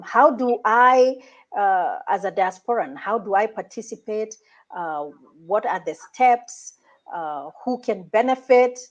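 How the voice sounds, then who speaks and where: A female talk show host speaks at a slow, unhurried pace. Her voice sits at an average pitch for a woman. She is effusive and enthusiastic as she puts questions to her guest in a conversational style. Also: muffled recording. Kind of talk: conversational interviewing.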